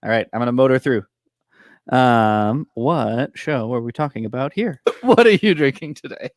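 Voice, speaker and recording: Lively young man, playful and dry-sounding recording. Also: mid-range voice, normal speed, dry recording. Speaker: co-host of a musical theatre podcast. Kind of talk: casual, joking chat on a livestreamed quiz show. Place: indoor room, video call.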